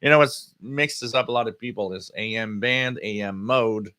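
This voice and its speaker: A man hosting a casual live hobby stream, answering chat comments in a halting way and often repeating his words. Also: on the low side, bright, forceful tone, dry recording, studio quality. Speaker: livestream host.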